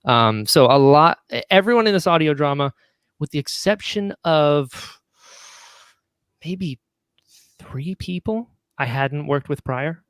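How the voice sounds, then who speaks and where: A man's voice: on the high side, lively intonation, a little slow, pauses often, a bit bright, clear, resonant tone, no room sound, studio quality. A man chatting casually as an interview guest, enthusiastic and talkative, often chuckling as he goes. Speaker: guest, audio drama writer and actor.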